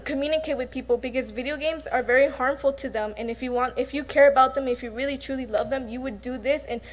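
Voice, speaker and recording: A woman's voice: high voice, quick pace. A young woman, a student presenter, giving an earnest prepared speech.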